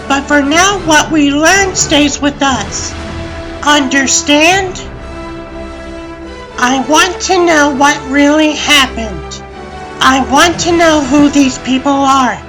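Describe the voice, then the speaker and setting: A woman voicing a confident, bossy young science team leader in a comic dub, commanding and dramatic. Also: high voice. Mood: determined, commanding. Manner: commanding, brisk-tongued, dramatic. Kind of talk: fan comic dub voice acting.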